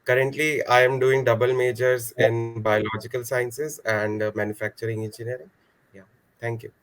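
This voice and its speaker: A man's voice: medium pitch, little pitch movement, normal speed, a bit bright, poor audio. Sex: man. Origin: from India. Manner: brief, polite. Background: faint music. Mic: video call. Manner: brief, polite self-introduction. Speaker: student.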